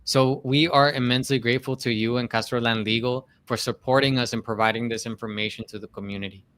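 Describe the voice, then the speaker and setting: A man's voice: medium pitch, fairly even intonation, fast, fairly bright tone, clear, resonant tone. A man hosting a business podcast, reading a formal, polite introduction and then interviewing his guest. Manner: formal, polite, reads from notes. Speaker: podcast host.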